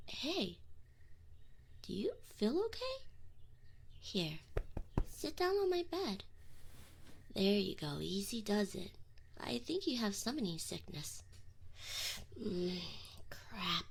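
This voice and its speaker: A woman's voice: medium pitch, creaky, breathy edge, exaggerated voice. A woman voicing a teasing, flustered but affectionate succubus in an intimate ASMR roleplay, close to the microphone with whispered asides. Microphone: close binaural microphone.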